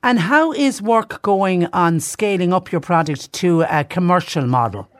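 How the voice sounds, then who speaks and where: A man's voice: high voice, lively intonation, a little slow, no room sound, studio quality. A man hosting a live radio talk show, effusive and rambling, with many restarts. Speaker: radio host.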